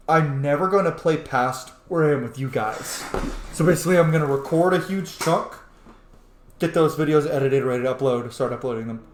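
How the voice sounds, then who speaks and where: A man giving casual, informal gameplay commentary to his viewers, excitable and prone to swearing. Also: mid-range voice. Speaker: let's play host. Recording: roomy echo, faint background noise.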